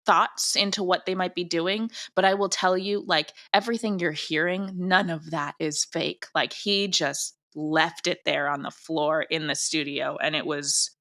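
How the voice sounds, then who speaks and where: Effusive woman, lower-pitched, bright forceful voice. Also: steady tone, unhurried, few pauses. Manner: effusive, emphatic. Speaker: audio drama creator and director, interview guest. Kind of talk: casual interview chat.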